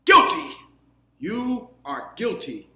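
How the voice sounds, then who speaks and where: A man voicing a stern, regal queen ant who scolds in a drawn-out, imperious way, as in a storybook. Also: high voice, wildly swooping pitch, bright, forceful tone, voice pushed up, exaggerated voice. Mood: harsh, condemning. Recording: roomy, echoing, faint background noise.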